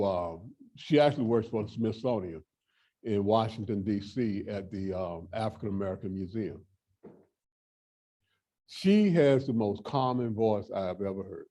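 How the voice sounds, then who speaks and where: Male workshop presenter, emphatic and direct, warm low tone. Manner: emphatic, repeats points, direct advice. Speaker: fair housing workshop presenter. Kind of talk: training talk to housing staff.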